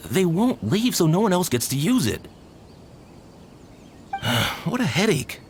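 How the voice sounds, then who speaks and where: Harried festival staffer, exasperated sighing manner, lively pitch swings, game dialogue. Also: male voice, slow pace, a little hiss or hum, exaggerated voice. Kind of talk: video game cutscene dialogue.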